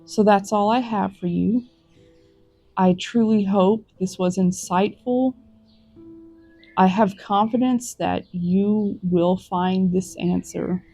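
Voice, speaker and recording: Slow, gentle woman giving a tarot reading. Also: mid-range voice, no room sound, soft background music. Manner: gentle, reflective, encouraging, with small restarts. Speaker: tarot reader. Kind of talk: unhurried spoken tarot reading to viewers. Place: outdoors in a forest.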